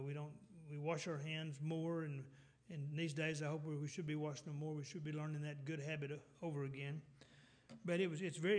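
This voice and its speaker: Texan man preaching a rambling sermon. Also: a little high. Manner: rambling, many restarts and fillers, clears throat.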